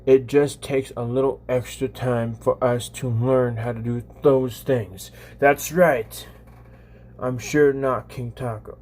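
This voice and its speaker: A man in his twenties hosting a casual livestream, talking to viewers in a halting, repetitive way with frequent restarts. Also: medium pitch, steady tone, darkish tone, slight background noise. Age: 20s. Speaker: live streamer, channel host.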